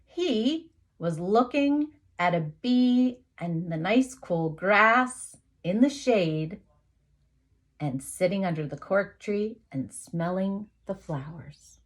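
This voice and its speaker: A woman teacher reading a picture book aloud to young children, expressively, with dramatic emphasis, pauses and asides to her listeners. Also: medium pitch, lively intonation.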